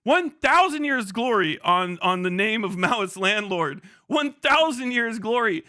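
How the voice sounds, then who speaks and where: A man's voice: high-pitched, lively intonation, a little slow, sharp tone, dry recording, studio quality. A man ranting on a livestream in profane, incredulous commentary, often laughing as he talks. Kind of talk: casual livestream commentary rant.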